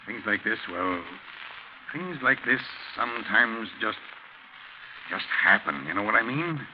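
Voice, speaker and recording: An actor playing a gruff, matter-of-fact American police sergeant in a brisk 1940s radio style. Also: male voice, fairly low pitch, expressive. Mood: brisk, uneasy. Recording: muffled, hissy 1940s radio recording.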